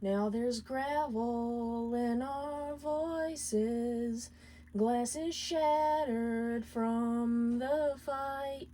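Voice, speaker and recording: A woman voicing a heartbroken male imp in a story, singing mournfully in long, drawn-out phrases. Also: a little high, warm tone, faint music behind, pitched above the performer's own voice.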